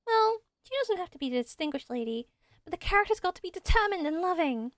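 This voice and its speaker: A woman voicing a bright, eager little village girl who loves stories, in a game. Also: very high-pitched, sing-song, fast, no echo, studio quality.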